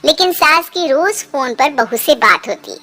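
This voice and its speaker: A woman storyteller with a strikingly high, bright voice narrates a scripted moral tale for cartoons. Soft music plays behind the narration. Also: expressive, a little slow.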